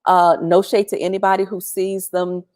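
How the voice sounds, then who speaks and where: Woman guest, lowish pitch, strong loud voice, lively chatty storyteller on a video call. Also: moderate pace. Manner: chatty, animated, acts out quoted voices. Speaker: featured guest. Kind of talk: storytelling testimony.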